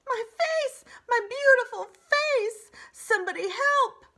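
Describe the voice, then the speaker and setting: A woman's voice: very high-pitched, animated, slow pace, pushed and bright, pitched above the performer's own voice, heavily acted. A woman voicing a vain, hungry, sly male fox, whiny and demanding, in a children's storybook. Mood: pained, pleading. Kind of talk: children's book read-aloud.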